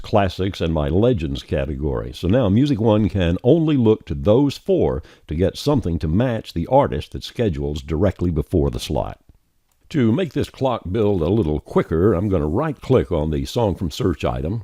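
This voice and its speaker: A man narrating a software tutorial step by step in a folksy, conversational way. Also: deep voice, dry recording, studio quality. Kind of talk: scripted tutorial narration, explaining steps on screen.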